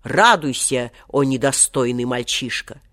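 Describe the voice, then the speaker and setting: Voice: mid-range voice, a little slow, fairly bright tone, natural voice. Pompous, wrathful old genie acted by a storyteller. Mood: angry, menacing. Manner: archaic, grandiose, menacing speech. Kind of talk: acted children's storybook reading.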